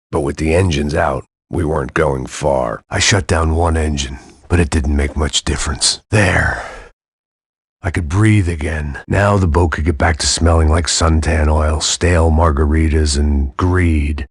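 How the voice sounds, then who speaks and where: A man playing a jaded, middle-aged American action hero, narrating a noir video game in a gravelly, sardonic deadpan. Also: a bass voice, no echo, studio quality, full character voice. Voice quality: gravelly, husky. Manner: world-weary, sardonic, deadpan. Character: jaded hard-drinking middle-aged male action hero, cynical and bitter.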